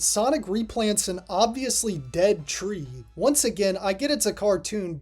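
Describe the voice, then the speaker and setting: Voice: mid-range voice, darkish tone. A host giving casual, scripted review commentary as a voice-over, with dry, offhand asides. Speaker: host of a video ranking cartoon episodes. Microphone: voice-over recording.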